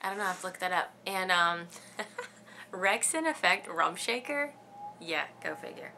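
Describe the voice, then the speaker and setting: Chatty bright-voiced woman in her thirties. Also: on the low side, lively intonation, moderate pace, slight background noise. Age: 30s. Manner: chatty, giggly, rambling with many ums. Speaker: beauty vlogger. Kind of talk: casual chat answering tag questions.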